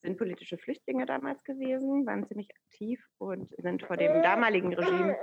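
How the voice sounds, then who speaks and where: A woman in her thirties, born in Iran, talking as a guest over a video call, thoughtful and hesitant with many pauses. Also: mid-range voice, lively intonation, creaky, muffled recording, over a noisy crowd. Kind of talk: casual interview conversation.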